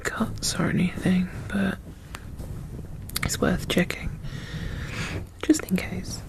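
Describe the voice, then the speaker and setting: Female, soft whisper, creaky edge, gentle and reassuring, ASMR caregiver roleplay. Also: lowish voice, steady tone, slow. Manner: gentle, murmured, reassuring, soothing.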